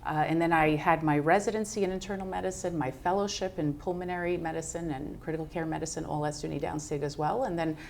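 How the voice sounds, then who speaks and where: Woman from Brooklyn, lowish voice, medical guest, measured explainer, faint room hum. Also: fairly even intonation. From Brooklyn, New York. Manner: clear, measured explainer with frequent "uh" fillers. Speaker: physician guest. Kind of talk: explanatory interview answers.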